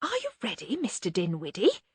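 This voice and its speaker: A woman's voice: medium pitch, huge pitch swings, breathy edge, no room sound, full character voice. A woman voicing a sensible, diplomatic male junior wizard, hesitant and deferential, in an audiobook. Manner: halting, deferential, resigned. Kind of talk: acted audiobook dialogue.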